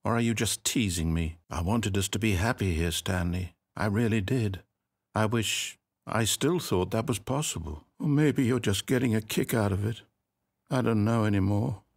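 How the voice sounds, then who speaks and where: An actor playing the fussy, needy male narrator of an office video game, swinging between pleading, wry sarcasm and giddy excitement. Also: fairly low pitch, normal speed, gravelly. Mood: hurt.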